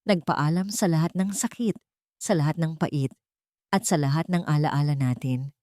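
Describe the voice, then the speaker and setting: A woman narrator voicing a calm, resolute woman army officer, solemn and deliberate, in a dramatic story. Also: sits low, no echo, studio quality, close to an everyday voice.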